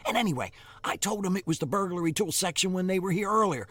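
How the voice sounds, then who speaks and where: Man playing a panicky, excitable small-town diner cook. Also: high-pitched, quick pace, dry recording. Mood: fretful, stubborn. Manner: fretful, excitable, exaggerating. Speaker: actor in a comedy audio drama. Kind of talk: comedic audio drama acting.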